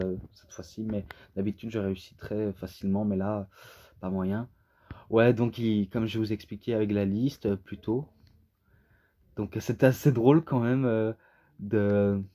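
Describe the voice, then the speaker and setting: A man who is a native French speaker, giving a casual, unscripted review in a halting way, often searching for words. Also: lowish voice, mellow. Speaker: amateur reviewer.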